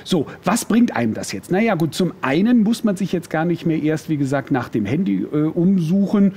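Animated, slightly husky male TV salesman. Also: normal speed. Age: middle-aged. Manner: chatty sales pitch with playful asides. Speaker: shopping TV presenter. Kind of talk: enthusiastic product presentation. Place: TV studio.

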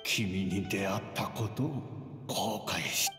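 A man's voice: sits low, fairly even intonation, slow pace, a bit bright, faint music behind. Tender man in long reverb. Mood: tender, sad. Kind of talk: anime game voice acting.

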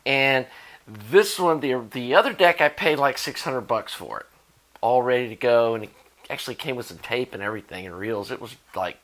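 A man's voice: mid-range voice, expressive, normal speed, a bit bright, low-quality recording. A middle-aged man with an American accent, explaining things in a casual, conversational, informal way to camera as host of a repair channel. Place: indoor workshop at a workbench.

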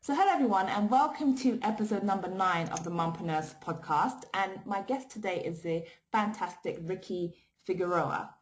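A woman's voice: mid-range voice, moderate pace, hardly any pauses, a little hiss or hum, low-quality recording. A woman hosting a podcast interview, upbeat and full of praise as she asks her questions. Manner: upbeat, warm praise for guest. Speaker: podcast host.

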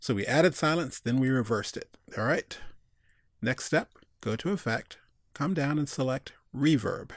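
A man's voice: mid-range voice, lively intonation, unhurried, dry recording, studio quality. A man giving a casual screencast tutorial, informally talking through each step and thinking aloud. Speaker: tutorial instructor.

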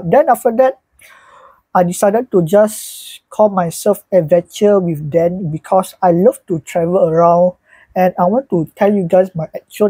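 Guy in his early 20s vlogging from his bedroom, husky voice, dry close sound, keeps saying so yeah. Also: high voice, dark tone, dry recording, low-quality recording. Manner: chatty, loose, repeats "so yeah" and "alright, guys". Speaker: YouTuber. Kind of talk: casual vlog talk to camera. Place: small bedroom with a fan, indoors, seated.

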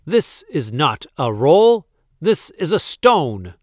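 A man's voice: a little high, steady tone, very slow, clean tone, no echo, clean studio sound, pitched above the performer's own voice. A man voicing an imperious, hot-tempered Duke from a storybook, with an emphatic, commanding manner. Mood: outraged, roaring. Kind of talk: storybook read-aloud character voice.

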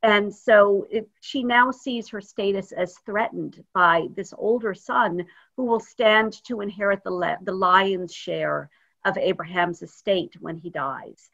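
Woman rabbi, thoughtful unscripted teaching, middle-pitched voice, dull video-call audio. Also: measured pace, gravelly, fairly bright tone. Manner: thoughtful, searching for words. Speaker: rabbi, guest speaker. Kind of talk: informal teaching talk.

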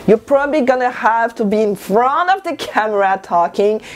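French-native woman about 30, animated motivational vlog from her bedroom, bit high pitched, repeats stuff for emphasis. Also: moderate pace. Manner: animated and emphatic, gesturing, repeats lines for stress. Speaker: lifestyle vlogger. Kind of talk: motivational talk to camera. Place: bedroom at home, indoors.

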